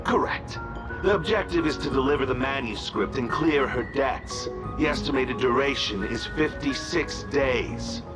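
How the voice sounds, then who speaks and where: A man's voice: mid-range voice, expressive, unhurried, over music. Stern, businesslike male escort. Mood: stern, official. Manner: clipped, formal, matter-of-fact. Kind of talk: video game voice acting.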